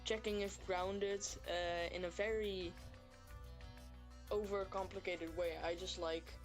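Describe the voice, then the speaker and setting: A woman's voice: fairly low pitch, steady tone, slow pace. A woman game developer chatting casually, in very informal speech peppered with filler words like "like". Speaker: game developer, guest collaborator. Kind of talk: casual chat. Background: music behind the voice.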